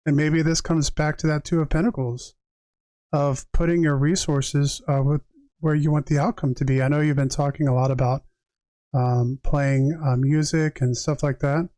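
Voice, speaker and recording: A man's voice: a little high, flat delivery, moderate pace, warm tone, no echo. A man giving a tarot reading on a livestream, conversational and reflective, moving between reading aloud and chatting directly to viewers. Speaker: tarot reader and live streamer.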